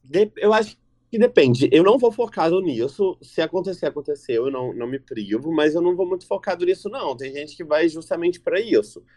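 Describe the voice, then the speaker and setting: A young man co-hosting a casual chat, talking in an expressive, chatty way full of slangy fillers. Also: a little high, a little fast.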